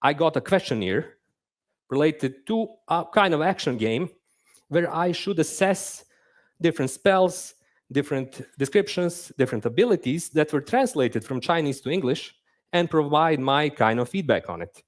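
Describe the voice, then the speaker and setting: A man's voice: a little high, animated, moderate pace. A young man giving a conference talk on stage, conversational and self-deprecating. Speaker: conference speaker, game narrative designer.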